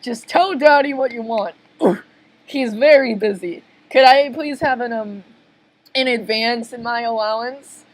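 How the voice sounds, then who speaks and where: A woman's voice: on the high side, unhurried, dry recording, rough recording. A woman voicing a small, bossy, exasperated male plankton who runs a restaurant in a cartoon story, commanding and easily flustered. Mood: harried.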